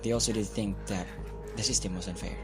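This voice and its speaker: A man's voice: lowish voice, fairly even intonation, brisk, over music, lightly acted. A man playing a troubled male student who recounts events hesitantly, often restarting his words. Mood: uneasy.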